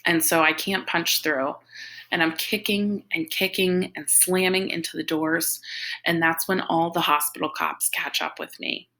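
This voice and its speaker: A woman's voice: fairly low pitch, fairly even intonation, unhurried, pushed and bright. A woman reading a novel in the first-person voice of a big, troubled, defiant teenage boy, in slangy run-on sentences. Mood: frantic, defiant.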